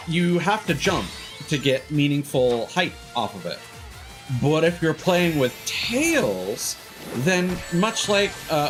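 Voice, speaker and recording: Man streaming games over loud music. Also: medium pitch. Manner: opinionated, sweary, critical. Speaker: livestreamer. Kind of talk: casual rambling game stream commentary. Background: game music.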